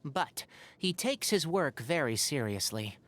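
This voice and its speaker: A man's voice: a little high, very animated, unhurried, a bit bright. A man voicing an earnest, wry young forest ranger scholar in a video game, speaking in an articulate, thoughtful, caring way. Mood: friendly, earnest. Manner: articulate, thoughtful, dryly opinionated. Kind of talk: scripted game character monologue.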